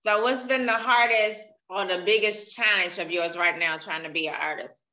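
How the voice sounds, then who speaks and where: A woman's voice: medium pitch, moderate pace, pushed and bright, poor audio. A young woman hosting a casual interview over a video call, asking questions and affirming her guest. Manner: encouraging, asks questions, affirms often. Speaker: interview host. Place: indoors in a room.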